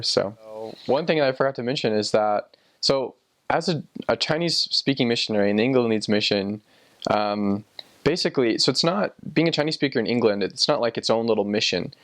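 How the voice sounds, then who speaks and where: A young man telling personal experiences casually, in a rambling, informal way as if thinking aloud. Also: on the low side. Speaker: former missionary sharing experiences.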